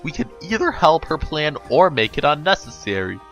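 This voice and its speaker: A man's voice: fairly high pitch, big pitch swings, normal speed, a bit bright, soft background music. A man voicing a breezy, scheming male pony in a storybook reading, with an offhand, casual manner.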